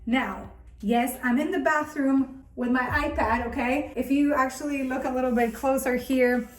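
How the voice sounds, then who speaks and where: High-voiced woman vlogging in an echoey room. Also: steady tone, moderate pace, a little hiss or hum. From Argentina. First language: Spanish. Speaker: content creator and video host. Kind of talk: casual chatty vlog. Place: bathroom at home, indoors.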